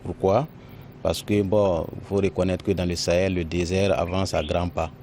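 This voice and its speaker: A man giving spontaneous interview answers as a company employee, halting and repeating his words. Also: low voice, little pitch movement, warm tone, a little hiss or hum.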